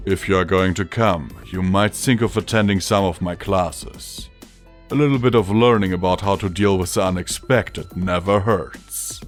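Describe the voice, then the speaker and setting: A man voicing a weary, caring father pony in a storybook, speaking in a measured, quiet, gentle way. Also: sits low, faint music behind, lightly acted. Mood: mild.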